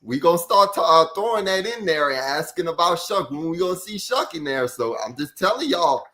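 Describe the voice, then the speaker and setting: Bright-voiced man talking sports. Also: on the high side, sing-song, fairly quick. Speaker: sports journalist and podcast panelist. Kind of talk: casual sports discussion.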